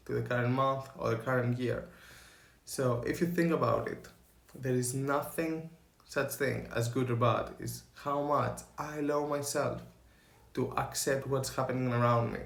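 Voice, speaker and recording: Young Greek-accented man, slow and halting, gravelly voice, echoey room. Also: medium pitch. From Greece. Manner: slow, halting, searching for words. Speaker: vlogger. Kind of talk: earnest talk to camera.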